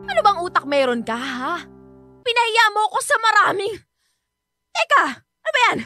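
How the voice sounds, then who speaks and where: An actress playing an unfaithful wife who turns defiant and shrill when caught. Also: female voice, big pitch swings, normal speed, music in the background. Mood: scolding. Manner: shrill, defensive. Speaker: Manel, the wife. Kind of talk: radio drama acting.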